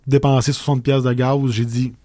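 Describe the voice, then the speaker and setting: Male interview guest, Québécois accent, casual and halting, mid-pitched voice, dry close recording. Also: little pitch movement, mellow, no echo. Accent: Québécois French. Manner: hesitant, many pauses and restarts.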